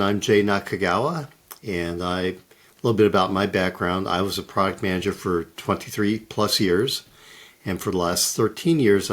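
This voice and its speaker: Middle aged guy, real low voice, friendly, kinda halting w fillers. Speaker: guest, corporate intelligence lead. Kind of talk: casual conversation.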